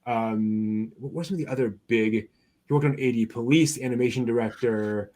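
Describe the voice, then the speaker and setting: A man co-hosting an anime news show in a casual, halting way, as if searching through his notes while he talks. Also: medium pitch, a little mellow.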